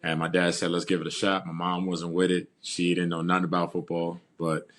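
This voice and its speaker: A young man in his twenties from New York, a football player, answering interview questions in a calm, steady way. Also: low voice, a little fast. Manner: calm, steady storytelling with "uh" and "you know".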